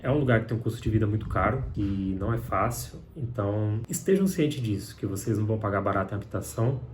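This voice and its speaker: A young Brazilian man chats to camera in a loose, candid vlog manner, often tagging his sentences with little fillers. His voice sits fairly low for a man, and a faint hum of room ambience runs underneath. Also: a little mellow. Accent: Brazilian Portuguese. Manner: conversational, frequent filler tags, candid. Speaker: vlogger. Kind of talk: casual talk to camera.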